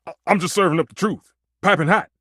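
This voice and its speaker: A volatile, theatrical head chef for a video game. His husky voice sits fairly high and swings enormously in pitch. He is passionate and emphatic, and the sound is close and dry. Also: fast, sharp tone, no room sound. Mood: defensive. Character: temperamental, passionate male chef, a living kitchen stove. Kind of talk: video game character acting.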